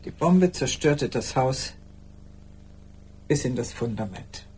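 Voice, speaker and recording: An actress playing an old woman in a care home, speaking a radio drama monologue in a deliberate, formally polite way to a silent listener. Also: a bass voice, animated, darkish tone, slight background noise, full character voice. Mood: sober, remembering. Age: older.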